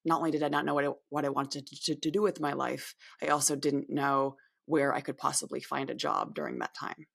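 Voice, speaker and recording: A woman in her thirties from upstate New York, a podcast guest. Her voice sits low with a gravelly edge, and she talks in a candid, reflective way, often circling back and restarting her words. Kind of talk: podcast interview.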